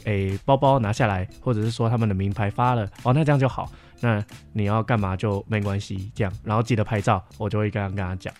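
A young man with a Taiwanese Mandarin accent chats casually and reflectively, explaining at length and softening his opinions. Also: on the low side, steady tone, fast. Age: young adult. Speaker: camp counselor sharing experiences. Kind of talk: casual podcast chat. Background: background music.

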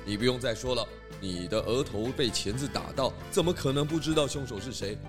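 A man's voice: medium pitch, brisk, music in the background, lightly acted. A man voicing a clever, confident pig detective in a children's audio drama. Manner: calm, explaining, hesitant fillers when cold.

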